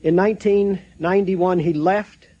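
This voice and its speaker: An American man hosting a seminar, reading a formal prepared introduction in measured phrases. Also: sits high, steady tone, muffled recording. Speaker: seminar host introducing speakers. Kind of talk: formal scripted introduction read aloud.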